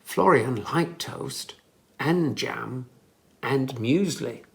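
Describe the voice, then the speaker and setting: Storyteller for young children: unhurried, very animated read-aloud, careful expressive phrasing, a touch of gravel. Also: medium pitch.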